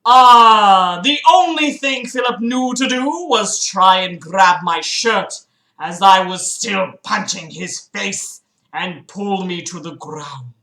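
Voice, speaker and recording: A woman's voice: mid-range voice, takes it slowly, bright, forceful tone, reverberant. A woman hosting a livestream, reading a book aloud mockingly with sarcastic, exasperated asides and casual swearing. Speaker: livestream host. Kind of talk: mocking read-aloud of a book with casual commentary to live chat.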